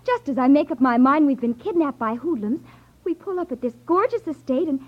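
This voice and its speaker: Sassy young sidekick, vintage radio drama: high voice, mock-gushing sarcasm, dull old-broadcast sound. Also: female voice, lively intonation, brisk. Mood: mock wonder. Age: young adult. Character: sassy, quick-witted young woman sidekick. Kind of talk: 1950s radio drama acting. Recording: muffled vintage radio recording.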